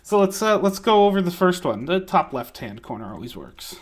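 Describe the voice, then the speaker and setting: A man's voice: high-pitched, sing-song, brisk. A man co-hosting a drawing critique stream, chatting playfully and laughing often. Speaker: co-host of an art critique stream. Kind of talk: casual group chat.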